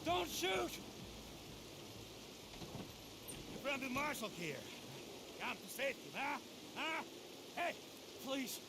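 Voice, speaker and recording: An actor playing a swaggering, taunting outlaw in a western video game, theatrical in manner, with music underneath. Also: male voice, very high-pitched, sing-song, measured pace, heavy background noise, heavily acted. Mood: mock pleading.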